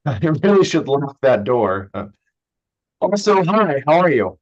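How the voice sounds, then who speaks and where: A man's voice: medium pitch, big pitch swings, takes it slowly. A young man playing a blunt, sardonic and wounded son and younger brother in a staged reading of a play, recorded in lo-fi. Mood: flustered, sardonic. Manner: hesitant, blunt, swearing. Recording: dull, lo-fi online recording.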